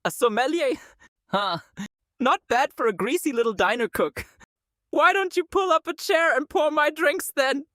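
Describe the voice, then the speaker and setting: A woman playing an arrogant, pompous young rich man who is pushy and sneering in an animated story. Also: high voice, moderate pace, dry recording, clean studio sound. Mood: smug, condescending. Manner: pompous, condescending. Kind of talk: animated story character acting.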